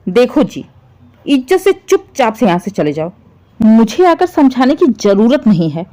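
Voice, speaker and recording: A bitter middle-aged mother-in-law in a domestic story, acted out by a woman narrator. She scolds and sneers with sarcastic contempt. Her pitch moves animatedly over a soft-edged, mellow tone. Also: very animated, measured pace.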